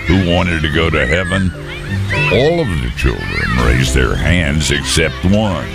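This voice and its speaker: A man's voice: very deep voice, big pitch swings, unhurried, gravelly, over loud music. A man giving a scripted short radio devotional, telling a joke in a dry, folksy way.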